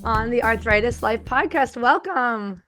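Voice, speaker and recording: A woman's voice: fairly high pitch, expressive, unhurried, fairly bright tone, faint music behind. A woman hosting a podcast interview, her voice a little scratchy, who laughs easily and explains terms for the listeners. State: slightly scratchy voice. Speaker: podcast host.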